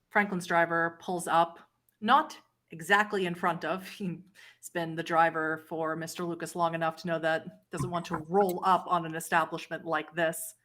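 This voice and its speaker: A woman running a tabletop horror roleplay as game master, narrating scenes in a descriptive, pausing storyteller way. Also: fairly low pitch, a bit bright.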